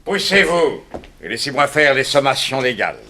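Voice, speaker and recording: Radio drama police chief: impatient bully, forceful, very animated delivery, blustering commands. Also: male voice, medium pitch, moderate pace, a little hiss or hum. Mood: barking, furious. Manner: barking orders, blustering. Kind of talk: 1960s French radio drama acting.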